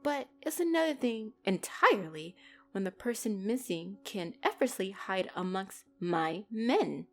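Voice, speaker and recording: A commanding female mafia boss, acted by a woman, speaks over music with a very lively, swinging pitch. She is possessive and icily playful. Her controlled, condescending delivery wraps threats in mock sweetness, in the style of a dramatic roleplay audio. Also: unhurried, vocal fry.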